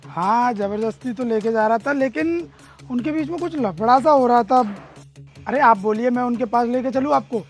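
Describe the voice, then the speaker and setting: A man plays an eager neighbourhood informant with a very high voice, helpful to all in an amateur drama skit. Faint music runs underneath. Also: moderate pace. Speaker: actor in a moral-story video.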